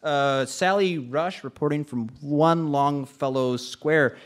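A man's voice: fairly high pitch, slow. An actor playing a nervous, flustered male radio host in a live comic radio drama, stammering and hesitant.

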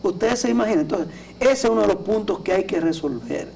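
High-voiced Dominican man, TV co-host. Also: lively intonation, a little fast, a little mellow. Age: middle-aged. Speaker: TV talk show co-host. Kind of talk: news commentary. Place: TV studio at a desk. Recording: distant mic with clear background noise.

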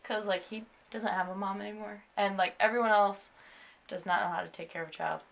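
A woman's voice: mid-range voice, fairly even intonation, a little fast, vocal fry. A young woman chatting casually indoors, explaining things in an offhand way. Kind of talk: casual chat. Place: room, indoors.

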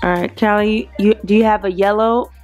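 A woman's voice: medium pitch, fairly even intonation, fairly quick, a little mellow, faint music behind. Playful woman filming her kids. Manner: playful, encouraging, lightly teasing. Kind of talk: casual family vlog chat. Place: large open living room indoors.

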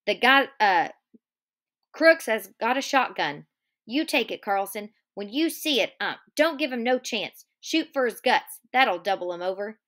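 A woman's voice: a little high, expressive, unhurried. A woman voicing a hot-tempered, vengeful young ranch man who barks threats and orders. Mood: angry. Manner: shouting threats, raised and forceful.

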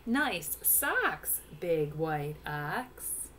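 A woman's voice: low-pitched, sing-song, extremely slow, a bit bright, a little hiss or hum, full character voice. A woman voicing a friendly male farmer in a storybook, calling out in a hearty, emphatic way. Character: friendly male farmer hailing animals from his tractor. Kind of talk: storybook read-aloud character.

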